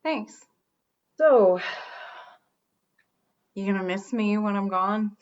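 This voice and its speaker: Casting: actress for a sardonic, regretful woman radio host, natural middle pitch, comedy radio drama, slightly dull recording. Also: slow pace, darkish tone, natural voice. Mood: wry, softened. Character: wry, tipsy woman radio show host, regretful and lonely.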